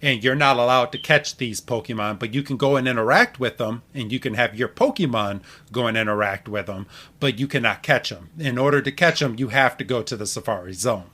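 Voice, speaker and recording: A man hosting a podcast in a casual recap style, halting and restarting his words, often chuckling. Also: medium pitch, sharp tone. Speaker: podcast host. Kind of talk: casual episode recap chat.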